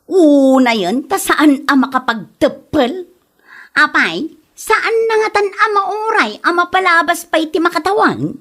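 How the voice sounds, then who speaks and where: A woman's voice: very high voice, sing-song, a little slow, fairly bright tone, heavily acted. A woman playing a spiteful, judgmental village gossip in an Ilocano comedy drama, exclaiming indignantly with an Ilocano accent. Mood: scandalized gossiping.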